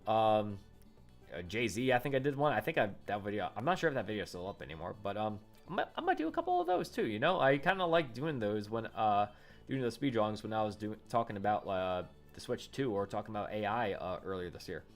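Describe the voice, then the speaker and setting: A man's voice: lowish voice, sing-song, brisk, soft background music. A man in his late twenties chatting casually into a condenser microphone for his vlog, rambling and correcting himself with lots of fillers. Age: 20s. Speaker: YouTuber. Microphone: condenser studio microphone.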